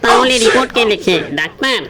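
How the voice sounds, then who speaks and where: A man's voice: extremely high pitch, quick pace, noisy background. A man voicing the death god's naive, literal-minded scribe in a comic cartoon dub, earnestly pestering with questions. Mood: puzzled, insistent. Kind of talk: comic Bengali cartoon dubbing.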